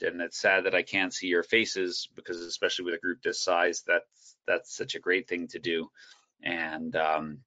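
A man guest on a video call, telling his coaching story in a relaxed, rambling way with many fillers and restarts. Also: sits low. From United States. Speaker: guest speaker, soccer coach educator. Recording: dull, lo-fi call audio.

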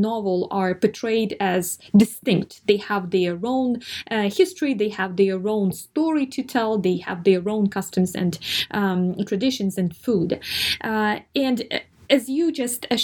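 A woman's voice: mid-range voice, a little mellow. A woman interviewing an author, asking long careful questions with many fillers.

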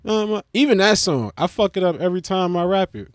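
A man's voice: sits high, animated, a little fast, dry recording, clean studio sound. Profane rambling man hosting a podcast. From Brooklyn. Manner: profane, joking, restarts takes. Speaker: podcast host. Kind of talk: casual rambling monologue.

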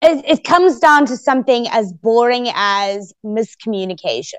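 A woman guest on a podcast interview, telling her story expressively and loudly, with laughs here and there. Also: fairly high pitch, lively intonation, normal speed, clean tone. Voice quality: very loud voice. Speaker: guest, eliminated contestant.

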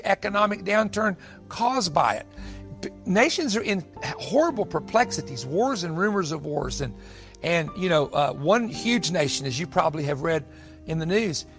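A man's voice: high voice, creaky, a bit bright. A man in his sixties teaching the Bible in a sermon style, emphatic and given to repeating phrases for weight. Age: 60s. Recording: music bed under the voice. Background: music.

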